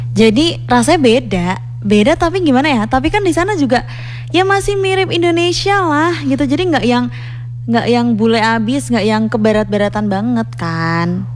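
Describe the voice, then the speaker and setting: A young woman co-hosting a radio show with casual, slangy, expressive banter. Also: a little high, moderate pace. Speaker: radio co-host. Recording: faint background hum.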